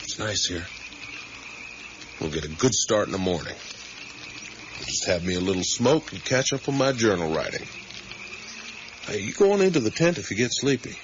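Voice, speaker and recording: An actor playing an easygoing, talkative middle-aged father in a naturalistic radio drama, with a folksy, rambling, gently teasing manner. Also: fairly low pitch, sing-song, normal speed, pauses often. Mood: relaxed. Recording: muffled, hissy 1980s radio broadcast.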